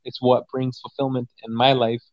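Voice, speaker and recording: A man's voice: mid-range voice, level tone, moderate pace, no room sound, lo-fi sound. A man in his twenties talking casually and emphatically, with lots of swearing and repeated "you know what I'm saying.". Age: 20s. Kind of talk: casual conversation.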